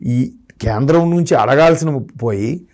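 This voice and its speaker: A man's voice: mid-range voice, a little slow, darkish tone. A man giving political commentary in an interview as an analyst, arguing through rhetorical questions.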